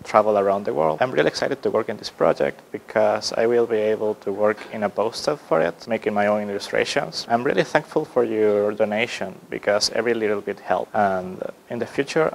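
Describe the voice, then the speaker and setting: Young man from Venezuela, gravelly voice. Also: on the low side, a little fast, few pauses, slight background noise, low-quality recording. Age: young adult. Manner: hesitant, with fillers. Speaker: student. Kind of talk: self-introduction to camera. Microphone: handheld microphone.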